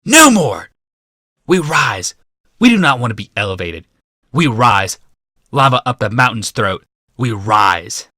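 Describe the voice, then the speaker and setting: A man voicing a young, bitter rebel leader haranguing a mob in a shouted, oratorical audiobook performance. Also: medium pitch, very animated, measured pace, pauses often, no echo, studio quality, pitched above the performer's own voice, exaggerated voice. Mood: furious, rallying. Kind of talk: audiobook character voice.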